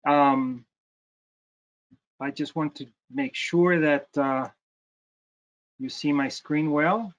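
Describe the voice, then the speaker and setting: A man's voice: a little high, steady tone, takes it slowly, long pauses, lo-fi sound. A man from Chile, giving a lecture over a video call, hesitant with many fillers and repeated words. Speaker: guest lecturer, plastic surgeon.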